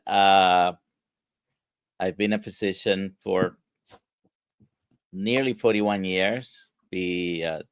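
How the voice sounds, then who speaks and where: Man, 60s, slow, hesitant, low clear voice, dry sound. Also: dry recording. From Puerto Rico. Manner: slow, measured, hesitant with many uhs. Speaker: physician guest. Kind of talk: interview answers.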